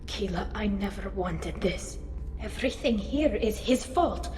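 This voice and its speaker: A woman's voice: mid-range voice, lively intonation, a little mellow, lightly acted. An actress playing a young alien woman engineer in a video game, an earnest, defiant daughter whose speech halts with emotion. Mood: anguished. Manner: earnest, halting under emotion. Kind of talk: cinematic video game voice acting. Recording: roomy echo, long reverb.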